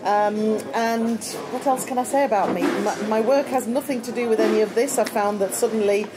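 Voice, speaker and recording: A woman's voice: fairly high pitch, moderate pace, over loud music, poor audio. A middle-aged woman from Italy with a British accent, a chatty, self-deprecating guest on a podcast. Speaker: guest, campaigner. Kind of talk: casual chat. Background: crowd chatter.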